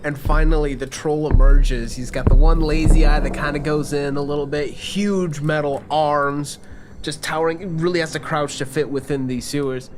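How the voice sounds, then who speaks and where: A man's voice: fairly high pitch, steady tone, moderate pace, noisy background. A man working as game master, narrating scenes and calling for rolls in a tabletop role-playing game.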